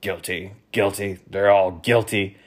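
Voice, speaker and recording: A man's voice: lowish voice, level tone. A man voicing a gloomy, suspicious police officer who mutters his words. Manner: muttering, then loud and brisk.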